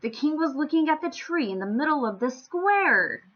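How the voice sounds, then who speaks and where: A woman reads a children's story in a high, very animated voice. She leans on words with playful, theatrical emphasis for young listeners. The sound is slightly dull and lo-fi. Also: moderate pace. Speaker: children's story-time reader. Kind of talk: expressive storybook read-aloud.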